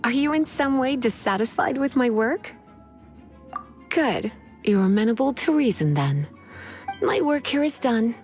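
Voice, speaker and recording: An actress playing a languid, elegant librarian and sorceress in a video game, sultry and playfully condescending, with sighing delivery. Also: female voice, fairly high pitch, animated, unhurried, pauses often, darkish tone, faint music behind. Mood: smug. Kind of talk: video game character dialogue.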